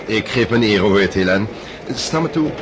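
A man's voice: on the low side, lively intonation, moderate pace, noisy background, natural voice. An actor playing a suave young nobleman. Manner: suave, smooth-talking. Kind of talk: radio play acting.

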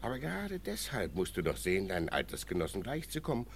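An actor playing a patient, fatherly elderly jurist in a German radio drama, speaking in a measured, formal, instructive way. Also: sits low, huge pitch swings, vocal fry, full character voice. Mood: earnest, consoling. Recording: faintly noisy radio drama recording.

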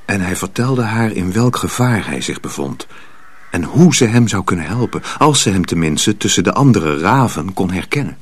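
A man's voice: sits low, animated, normal speed, breathy edge. A man narrating a radio drama as a scripted storyteller.

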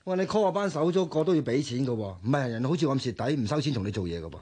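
A man acting in a radio drama as an exasperated young renovation worker with a soft heart, blunt and nagging in colloquial Hong Kong Cantonese. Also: medium pitch, animated, very fast, breathy edge. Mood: grumbling.

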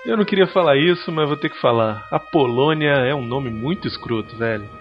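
A man's voice: brisk, highs cut off, soft background music. A man with a Brazilian accent co-hosting a podcast, slangy and enthusiastic. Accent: Brazilian Portuguese. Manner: lively, slangy, enthusiastic pitching. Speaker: podcast co-host. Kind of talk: casual podcast banter.

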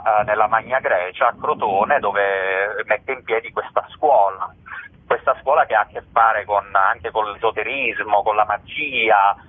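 A theatre man giving conversational, explanatory interview answers in a halting way. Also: lowish voice. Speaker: theatre actor-director, interview guest. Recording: narrow tinny phone line.

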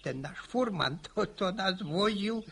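An actor playing a talkative, teasing old peasant with a creaky voice, halting and repeating his words in a radio drama. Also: high voice, expressive, a bit bright, slight background noise, exaggerated voice. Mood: lively, teasing. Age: older. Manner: halting, stammering repetitions, slow. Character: talkative old village peasant man, folksy, teasing, bossy.

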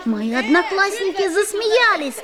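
A woman's voice: very high voice, big pitch swings, a little slow, a crowd in the background, heavily acted. A woman voicing a curious young schoolboy who narrates a musical fairy-tale audio play in an earnest, storytelling way.

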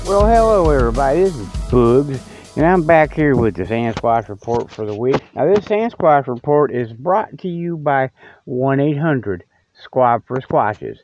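A man's voice: mid-range voice, lively intonation, measured pace, over music. A man hosting a comic report in a casual, rambling, folksy monologue, often repeating himself. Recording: dull, dry lo-fi audio.

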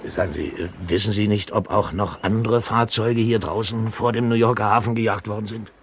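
A man's voice: lowish voice, fairly even intonation, brisk, a little hiss or hum, natural voice. An actor playing a jovial, sly middle-aged one-legged ship's cook with folksy, wheedling seaman's patter in a radio play. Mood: cunning, concerned. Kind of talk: 1970s German radio play acting.

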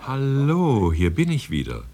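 A man's voice: medium pitch, huge pitch swings, unhurried, gravelly, mellow, heavily acted. A man playing a chatty, matter-of-fact reporter who narrates a comic radio play as breezy mock reportage.

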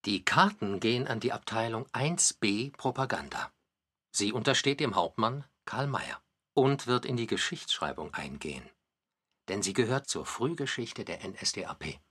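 A man's voice: fairly low pitch, lively intonation, normal speed, a bit bright, slightly rough. A man giving explanatory, musing commentary in a documentary radio play. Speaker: narrator and commentator.

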